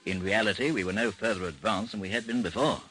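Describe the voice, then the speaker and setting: A world-weary male investigator narrating a vintage radio mystery. His voice is very low and rough-edged, yet forceful. The delivery is measured and formal. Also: soft background music. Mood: grave, matter-of-fact. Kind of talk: scripted narration in old-time radio drama.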